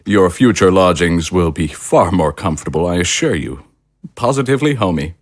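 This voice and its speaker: Man playing a deep-voiced, pompous, suave leader. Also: lively intonation, normal speed. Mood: smoothly apologetic. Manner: pompous, formal, elaborately polite diction. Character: suave, grandiose male political leader, courteous and self-satisfied. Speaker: actor in an audio drama. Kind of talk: theatrical audio drama acting.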